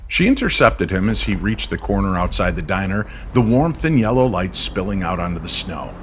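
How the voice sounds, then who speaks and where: A man's voice: low-pitched, sing-song, moderate pace, fairly bright tone, slight background noise. A man narrating a scripted mystery story in a dramatic storytelling style.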